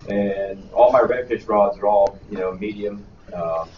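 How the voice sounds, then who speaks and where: A fairly low-voiced man answers casually on a fishing panel, with plenty of ums. The recording is distant and roomy, with a muffled tone. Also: a little slow, a little hiss or hum. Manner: relaxed, many fillers. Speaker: charter captain. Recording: echoey room, far from mic, dull.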